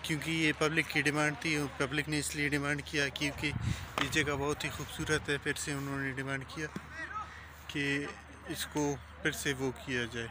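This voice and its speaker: A man in his thirties, a travel vlogger, walking a cricket ground and talking to a phone camera at arm's length in an unhurried, repetitive way. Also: fairly high pitch, noisy background. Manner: flat, steady and unhurried, with many repeats. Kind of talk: casual walking vlog. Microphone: phone camera held at arm's length.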